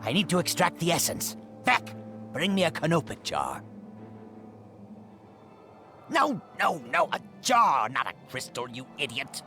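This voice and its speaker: A cranky old gnome inventor for a fantasy video game. He barks scornfully and impatiently, his pitch leaping wildly up and down. Music plays clearly behind him. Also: huge pitch swings, unhurried, pauses often, bright, forceful tone, full character voice. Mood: irritated. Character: cranky, arrogant old male gnome-like inventor, imperious and callous.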